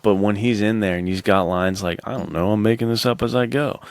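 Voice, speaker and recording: Man, low voice, heavy vocal fry, dry close sound, laid-back slangy podcast chat. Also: brisk, no room sound. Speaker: podcast co-host.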